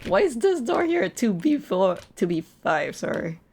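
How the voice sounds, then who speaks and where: A woman's voice: mid-range voice, very animated, moderate pace, darkish tone, a little hiss or hum. A woman livestreaming a game, musing aloud and reacting with exasperation in casual commentary.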